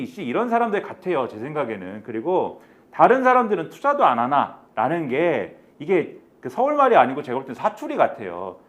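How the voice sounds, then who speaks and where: A man offers political analysis as a panelist. His voice is high and swings widely in pitch. He builds his case emphatically, point by point, often posing rhetorical questions.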